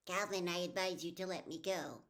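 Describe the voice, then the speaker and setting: A woman voicing a possessed small boy in an audiobook, speaking in a calm, measured, persuasive and sinister way. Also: fairly low pitch, natural voice. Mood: eerily calm, coaxing. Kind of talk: audiobook character voice.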